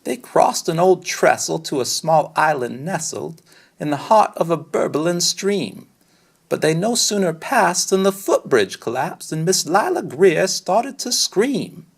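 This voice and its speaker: A man narrates a rhyming children's book with very animated intonation. His voice sits fairly high and has a husky, slightly creaky grain. The delivery is rhythmic and dramatic. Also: unhurried. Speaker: storybook reader. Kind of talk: expressive children's read-aloud narration.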